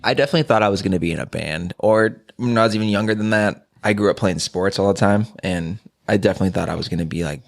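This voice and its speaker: A man's voice: on the low side, quick pace, vocal fry, few pauses. A man co-hosting a podcast, teasing the others with dry quips in casual chat. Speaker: podcast co-host.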